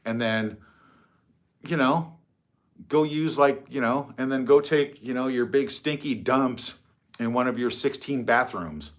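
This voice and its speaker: A man's voice: medium pitch, a little slow. Rambling, mocking middle-aged man. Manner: rambling, mocking, swears freely. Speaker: livestream host. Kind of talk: casual livestream chat. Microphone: phone.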